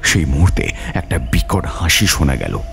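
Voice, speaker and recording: A man's voice: rumbling low, sing-song, normal speed, vocal fry, over music. A man narrating an adventure story as a scripted, dramatic audio tale.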